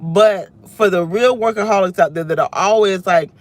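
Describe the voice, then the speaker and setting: A woman's voice: medium pitch, normal speed. A woman in her thirties with a slightly scratchy voice rants into her phone from the driver's seat of her car. She talks in an emotional, tumbling way, restarting sentences and circling back as she vents. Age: 30s. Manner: venting, emotional, restless, self-interrupting. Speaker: vlogger. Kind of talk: unscripted personal vlog rant. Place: inside her parked car. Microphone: phone camera held close.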